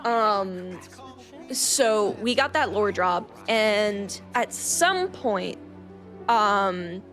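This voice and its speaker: A woman game streamer talks casually with her viewers over clearly audible background music. Her voice sits at a middle pitch. She rambles through a story recap, playful and easily sidetracked. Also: lively intonation, very slow, fairly bright tone.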